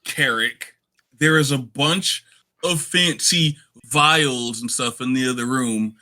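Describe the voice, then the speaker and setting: Man as tabletop player: bright, forceful delivery, casual mid-range banter. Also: medium pitch, takes it slowly. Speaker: tabletop role-playing player.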